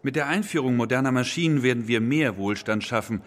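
A man's voice: medium pitch, a little fast, close to an everyday voice. A man playing a polite, persistent 19th-century engineer, speaking formally in an audio docudrama. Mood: earnest persuasion.

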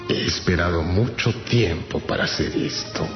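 An actor playing a spiteful, sadistic court dwarf who taunts in a menacing, drawn-out way in a radio drama. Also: male voice, low-pitched, natural voice. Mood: menacing gloating. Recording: echoey, dull radio drama with music behind.

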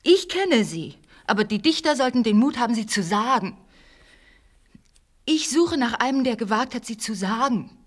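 An actress playing a brooding, intense, bookish mother in a literary radio play, hushed at times and insistent at others. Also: a little high, big pitch swings, moderate pace. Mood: insistent, bitter.

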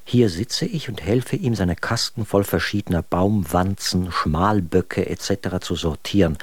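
Exasperated husband in a radio play, mocking letter-writer, articulate, lowish register, close dry recording. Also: male voice, a little slow, slightly rough, no room sound. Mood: wry, harried. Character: exasperated, mocking male writer recounting his wife's antics in letters. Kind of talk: German radio drama, dramatic reading of letters.

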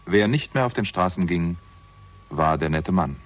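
An actor playing a jaded, hard-drinking theatrical agent with a conscience, sardonic and world-weary, in a 1960s German radio crime drama. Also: male voice, low-pitched, animated, normal speed, soft background music. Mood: wry narration.

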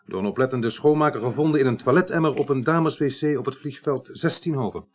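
An actor playing a businesslike, calmly sceptical police investigator in a radio drama, formal and measured in his questioning. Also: medium pitch, close to an everyday voice. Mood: brisk, sceptical. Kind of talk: 1980s Dutch radio drama acting.

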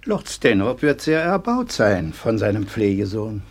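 A stern, formal schoolteacher in a radio drama. The voice is husky and fairly low, with very animated intonation and a dutiful air. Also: male voice, measured pace, full character voice. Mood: stern, exasperated. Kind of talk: German radio play acting.

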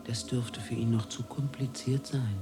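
An actor playing a grave, aloof alien philosopher in a German radio drama, making solemn pronouncements. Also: male voice, mid-range voice, steady tone, moderate pace, music in the background, natural voice.

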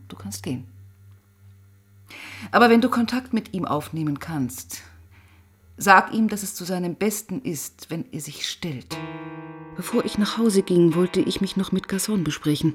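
Thoughtful female police inspector, played by an actress, lowish controlled voice, radio crime drama, faint music behind. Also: lightly acted. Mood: probing. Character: reflective, probing female police inspector, narrating. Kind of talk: radio crime drama, first-person narration and dialogue.

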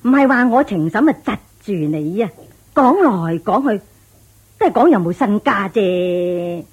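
A woman playing a blunt, doubtful older village matchmaker in a radio drama, sighing and lecturing in drawn-out Hong Kong Cantonese phrases. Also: medium pitch, expressive, a little mellow, breathy edge. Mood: reasoning. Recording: dull lo-fi radio drama with music.

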